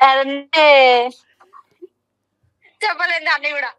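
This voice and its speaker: A woman's voice: sits high, steady tone, slow pace, pushed and bright. A young woman chatting casually as a guest in a phone interview.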